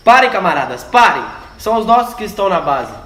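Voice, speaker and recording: Man acting a high, forceful guerrilla commander. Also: sing-song, quick pace, heavily acted. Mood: urgent, commanding. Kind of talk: amateur radio drama acting. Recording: roomy, echoey amateur recording.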